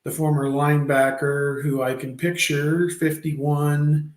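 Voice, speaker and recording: A man in his fifties hosting a sports talk show, rambling, with many fillers and pauses. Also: fairly high pitch, little pitch movement, reverberant. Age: 50s. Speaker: podcast host.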